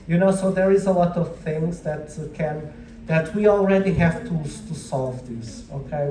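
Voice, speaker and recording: A man's voice: on the high side, mellow, a little hiss or hum. A man with a Portuguese accent, a native Portuguese speaker, giving an informal classroom lecture and often checking in with short tag questions. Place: indoor lecture room with audience. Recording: echoey room, distant microphone.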